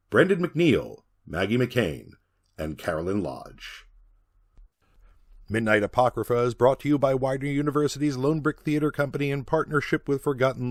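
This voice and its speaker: Male radio announcer, scripted outro. Lowish, husky, animated read. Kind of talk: scripted radio credits narration.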